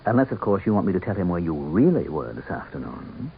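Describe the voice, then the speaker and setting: A smug, conniving political fixer from a radio drama. His lowish voice moves in lively, deliberate swoops as he needles. The sound is narrow and noisy, like an old broadcast. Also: gravelly, exaggerated voice. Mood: quietly menacing. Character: sardonic, conniving male campaign manager, secretly the Devil.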